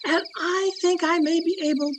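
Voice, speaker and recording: Actress as older meddling aunt for radio comedy: high voice, theatrical and self-righteous, noisy call recording. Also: female voice, fairly even intonation, normal speed. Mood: earnest, prying. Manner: theatrical, dramatic. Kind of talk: old-time radio comedy acting. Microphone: video call.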